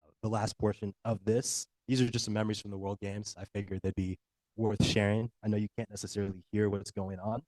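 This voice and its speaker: Low, mellow American man presenting. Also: level tone, fairly quick, no echo, poor audio. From United States. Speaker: athlete giving a workshop presentation. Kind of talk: presentation to an audience. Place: live talk at a workshop.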